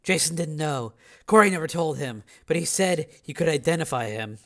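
Grave, sympathetic woman done by a male reader: lightly raised pitch, measured thriller storytelling. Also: animated, fairly bright tone. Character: serious, sympathetic woman relaying grim news.

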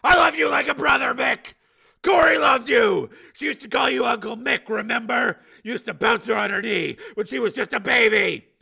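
A man voicing a male ex-partner who shouts and pleads across a distance. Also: very high voice, normal speed, creaky, breathy edge, voice pushed up, exaggerated voice. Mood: pleading.

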